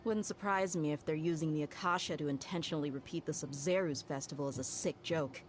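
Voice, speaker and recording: Low-pitched woman reading game dialogue. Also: fairly quick, background noise. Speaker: game streamer. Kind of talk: reading game dialogue aloud during a livestream.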